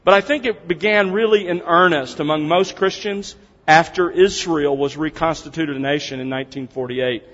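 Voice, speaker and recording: A man's voice: fairly high pitch, fairly bright tone. A man teaching a seminar to a congregation, opening with prayer, speaking in an earnest, conversational way as he explains things step by step. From United States. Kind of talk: seminar lecture opened with prayer. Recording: dull, reverberant hall sound.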